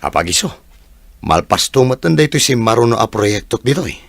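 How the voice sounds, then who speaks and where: A man's voice: medium pitch, sing-song, a little fast, vocal fry, dry recording. A man with an Ilocano accent playing a practical, friendly contractor in a radio drama. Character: practical working contractor, friendly village buddy.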